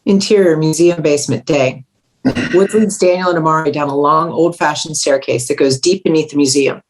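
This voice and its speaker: A woman's voice: sits low, steady tone, a little fast, poor audio. Woman reading stage directions for a script table read, scripted narrator style.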